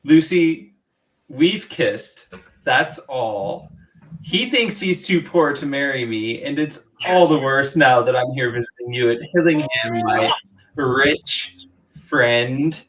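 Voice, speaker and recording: Woman playing a slow-spoken, prim Victorian schoolmistress. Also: very deep voice, expressive, heavily acted, low-quality recording. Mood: earnest. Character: prim, easily scandalised young Victorian schoolmistress. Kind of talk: comic amateur radio play table read.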